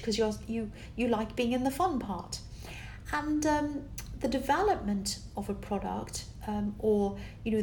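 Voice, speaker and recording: A tired woman with a slightly croaky voice, giving a casual tarot reading to camera in a rambling, encouraging way full of fillers. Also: a little high, a little mellow, slight background noise, low-quality recording. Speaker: tarot reader. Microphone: camera with external microphone.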